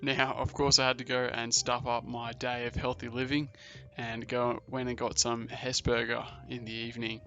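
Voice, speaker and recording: A man's voice: medium pitch, completely flat delivery, normal speed, sharp tone, muffled recording. A man vlogging casually about learning a new language, upbeat and self-deprecating, talking straight to his viewers. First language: English. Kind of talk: casual vlog talk to camera.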